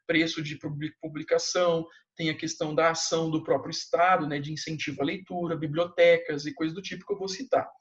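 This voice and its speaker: Male teacher with a high voice on a dull lo-fi recording; relaxed, slangy lecture style with constant check-ins. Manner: casual, slangy, with frequent tag questions. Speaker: history teacher. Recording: dull lo-fi sound.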